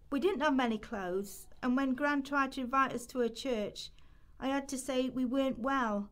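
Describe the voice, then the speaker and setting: A woman's voice: on the high side, lightly acted. A woman playing a poor, weary young girl from Rochdale who tells her story plainly in an amateur radio play.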